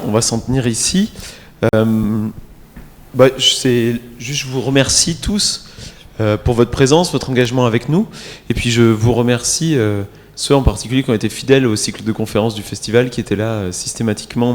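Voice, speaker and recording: A man's voice: medium pitch, normal speed. A man moderating a conference, thanking the audience and closing the session. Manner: courteous, formal thanks. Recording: echoey hall.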